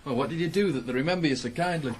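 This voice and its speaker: An actor in a 1980s radio drama playing a cheeky farm workmate, talking in a teasing, bantering way. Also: male voice, a little high, racing, natural voice. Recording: faint noise on old radio broadcast.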